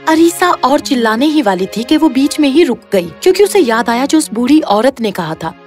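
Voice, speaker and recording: A female storyteller reads a scripted fairy tale. Her voice is high and moves in lively, animated contours. Music plays clearly behind the narration. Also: moderate pace. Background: background music.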